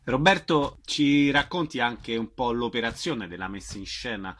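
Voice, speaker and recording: Medium-pitched male Italian radio host. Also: animated, moderate pace, a bit bright. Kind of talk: radio interview hosting.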